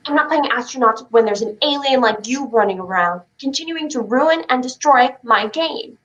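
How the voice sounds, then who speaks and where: A woman's voice: sits high, lively intonation, normal speed, a bit bright, muffled recording. A woman playing a stubborn, dreamy child who plays astronaut, in a staged play reading. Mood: fed up, scolding.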